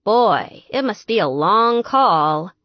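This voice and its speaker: A woman's voice: mid-range voice, animated, slow pace, no echo, highs cut off. A woman voicing a lovesick, clingy young man in an audiobook, earnest, pleading and gushing. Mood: eager.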